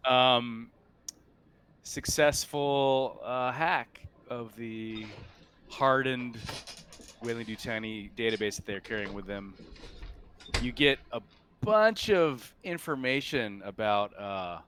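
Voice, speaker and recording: A man working as game master, narrating and making rulings in a halting, think-aloud way. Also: mid-range voice, fairly bright tone, a little hiss or hum. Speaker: game master of a tabletop role-playing game.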